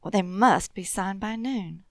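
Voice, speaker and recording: A woman's voice: medium pitch, unhurried, dry recording, clean studio sound, natural voice. A woman acting a polite, flustered office secretary in an old-style radio drama, with a hesitant manner. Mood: polite, puzzled.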